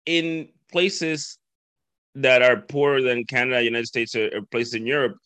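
A lively host from the Dominican Republic chatting on a dry, close mic with no room sound. His speech runs in loose, run-on thoughts, and he lifts his pitch to act out other people's voices. He sounds casual and animated, like in a laid-back interview. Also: moderate pace, no room sound. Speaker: podcast host.

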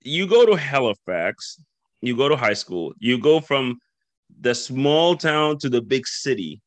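A man's voice: medium pitch, big pitch swings, measured pace, no room sound. A man from the Dominican Republic hosting a casual interview, talking in loose run-on thoughts and acting out quoted voices. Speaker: podcast host.

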